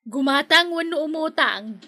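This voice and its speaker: A woman's voice: sits high, expressive, normal speed, sharp tone, no room sound, faint music behind, full character voice. An actress with an Ilocano accent playing an easygoing, chatty woman shopkeeper in a village radio comedy, nosy and teasing.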